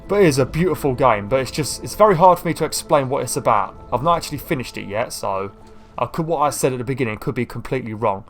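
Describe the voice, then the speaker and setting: Male gamer, croaky today, chatty and rambling, faint game music underneath. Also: medium pitch, expressive, fast talker. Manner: rambling, chatty, self-deprecating. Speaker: gaming YouTuber. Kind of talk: casual gameplay commentary.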